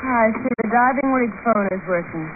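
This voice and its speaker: A woman's voice: fairly high pitch, over loud music, lightly acted. An actress playing a cold, treacherous female doctor, poised and menacing, in 1950s radio drama style. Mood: controlled. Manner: poised, deliberate, coolly menacing. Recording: tinny, noisy 1950 radio recording.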